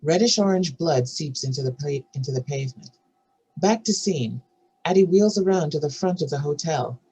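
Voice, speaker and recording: A woman's voice: low-pitched, animated, moderate pace, mellow, slightly rough, rough recording. A woman reading stage directions as scripted narration for a drama table read.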